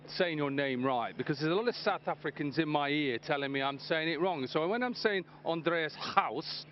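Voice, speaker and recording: A man working as a cricket pundit in a pitch-side interview, chatty and joking with the player. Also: a little hiss or hum. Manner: brisk, jokey and chatty. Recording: slightly dull outdoor broadcast audio.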